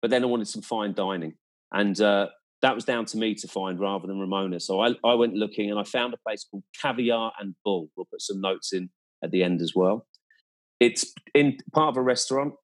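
A man's voice: on the low side, brisk. A man in a casual, conversational chat, recounting his experiences as a guest in an enthusiastic, descriptive and emphatic way.

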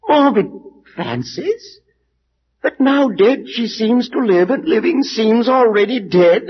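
An actor playing a morbid, grief-maddened last heir of a doomed family in vintage radio horror, theatrical and volatile between hushed and feverish delivery. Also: male voice, extremely high pitch, expressive, takes it slowly, slightly rough, heavily acted. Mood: frenzied despair. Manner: theatrical, swinging from hushed whispers to feverish outbursts. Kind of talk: 1940s-50s radio horror drama acting. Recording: dull, noisy vintage radio recording.

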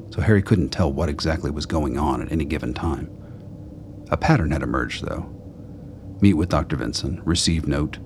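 Very deep male radio play narrator. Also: a little hiss or hum.

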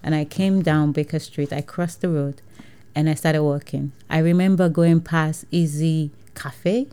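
A woman's voice: sits low, steady tone, a little slow, mellow, clean tone. A woman with a Nigerian accent, an entrepreneur telling her story in a casual interview, acting out dialogue and laughing often. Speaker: entrepreneur guest.

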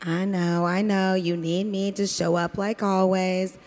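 A woman's voice: mid-range voice, little pitch movement, measured pace, a little mellow, natural voice. A woman playing a lonely, put-upon waitress in a radio comedy, with a rambling, hesitant, self-deprecating manner. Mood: reluctant. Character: lonely, put-upon drive-in waitress who loves her cat.

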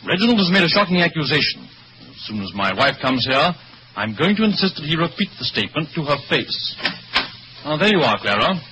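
Stiff, indignant lord, radio drama, animated mid-range voice, faint hiss. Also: male voice, fairly high pitch, fairly quick. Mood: formal, grave. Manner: stiff, proper. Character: indignant, formal English lord, troubled husband. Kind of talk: old-time radio mystery acting. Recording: dull, hissy old radio recording.

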